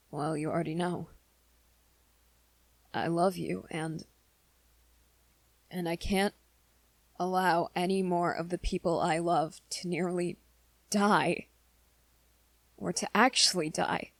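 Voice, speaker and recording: A woman voicing a shy, earnest, lovestruck young male werewolf in an ASMR romance roleplay, with halting, hesitant, soft-spoken delivery. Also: on the low side, fairly even intonation, no echo. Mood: guilty, pleading.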